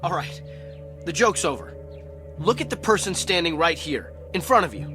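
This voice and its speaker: An actor playing a distraught husband in a radio drama, agitated, insistent and pleading. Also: male voice, a little high, lively intonation, moderate pace, music in the background, lightly acted. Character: distraught husband convinced his face is a stranger's.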